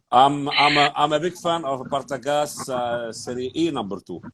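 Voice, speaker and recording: A man's voice: medium pitch, a little slow, fairly bright tone. Eager male host on a noisy line. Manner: eager, backchannels a lot, repeats "yeah". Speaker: host, interviewer. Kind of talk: casual live interview.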